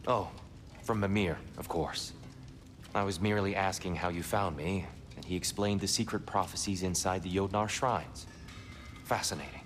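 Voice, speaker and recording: A scheming older god in disguise, voiced by a man, with a slightly low voice and a measured, courtly, silken delivery. Game music swells beneath him. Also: in a large hall, natural voice. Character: genial scheming old god disguised as a wise warrior.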